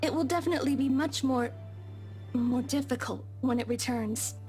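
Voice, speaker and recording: A woman's voice: high voice, normal speed, darkish tone, soft background music, close to an everyday voice. A woman playing a meek, deferential young maid in a visual novel game, speaking in a formal, old-fashioned, hesitant way. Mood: meek, wistful.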